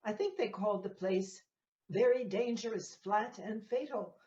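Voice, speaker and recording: A woman playing a gossiping, needling Venetian townsperson in a Shakespearean audio play, with measured, halting delivery. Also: mid-range voice, dark tone, natural voice. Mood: gossipy concern. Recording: muffled, echoey room recording.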